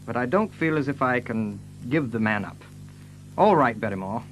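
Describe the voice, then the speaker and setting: An actor voices a hearty, hot-blooded young baronet for an audio drama. His medium-pitched voice swings with feeling, and he speaks bluntly. There is faint music underneath. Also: animated, moderate pace, natural voice, rough recording. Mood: decisive.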